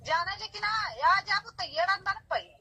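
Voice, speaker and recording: A woman's voice: extremely high pitch, measured pace, pushed and bright, dry recording. A middle-aged woman with a Bhojpuri accent, a mother scolding and brushing off the other person on a phone call. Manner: scolding, dismissive. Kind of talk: phone argument.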